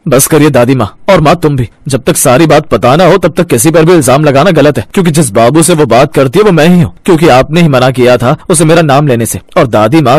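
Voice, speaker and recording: A man's voice: on the high side, dry recording. A man voicing an indignant young husband in a cartoon story, reasoning firmly and reproachfully with his elders. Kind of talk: dramatised cartoon story dialogue.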